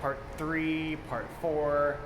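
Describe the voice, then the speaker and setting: A man with a slightly high voice hosts a casual, unscripted film review. He rambles informally, like an enthusiastic fan. The sound is very echoey, with clear noise behind him. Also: flat delivery, drawn-out words. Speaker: movie review host. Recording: echoey reverberant room, clear background noise.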